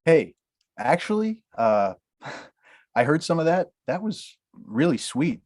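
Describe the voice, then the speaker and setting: A man's voice: a little high, very animated, takes it slowly, darkish tone, full character voice. A man reading a blunt Hollywood agent in a comedic table read, crude and mocking, often laughing.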